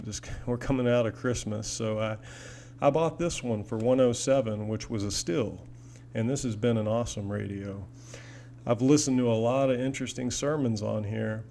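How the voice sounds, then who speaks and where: A man's voice: mid-range voice, creaky, a little mellow. A man chatting casually and enthusiastically in a rambling, folksy way, like a hobbyist showing off gear at home. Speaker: hobbyist video host. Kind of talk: casual product demo and chat. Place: indoors in an apartment. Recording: noisy home recording with audible hum.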